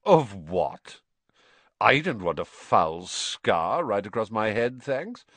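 A spiteful schoolboy bully, played by a man, sneering and smirking through every phrase. The pitch swoops widely as he mocks, in a dry audiobook recording. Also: very animated, slow, fairly bright tone, dry recording, full character voice. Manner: scathing, mocking, smirking. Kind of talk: audiobook character voice.

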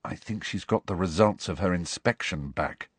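A man's voice: fairly low pitch, normal speed, a bit bright, no echo. A man voicing a glum teenage schoolboy who mutters.

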